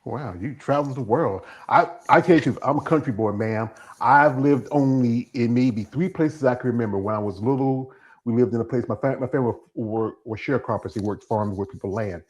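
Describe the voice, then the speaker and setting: A man's voice: mid-range voice, fairly quick, highs cut off. A man hosting a casual interview, folksy and joking, stammering at times. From United States. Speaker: host.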